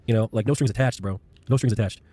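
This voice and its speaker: A man's voice: on the low side, quick pace, warm tone, dry recording. A man chatting casually in an informal, repetitive way. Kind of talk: casual chat.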